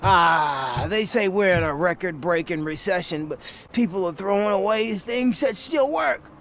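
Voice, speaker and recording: An actor playing a grumbling, eager trash scavenger in a video game. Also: male voice, high-pitched, lively intonation, unhurried, a bit bright, heavily acted. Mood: grumbling, pleased. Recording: faint ambient noise behind.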